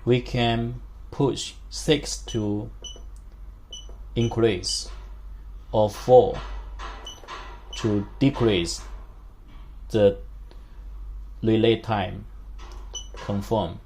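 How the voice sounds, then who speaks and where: A man with a slightly low voice walks through a device setup at a slow pace. He is a non-native English speaker who talks in short, simple, halting chunks as he instructs. There is some background noise in the recording. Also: steady tone, warm tone. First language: not a native English speaker. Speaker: product demonstrator. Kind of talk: step-by-step instructional demonstration.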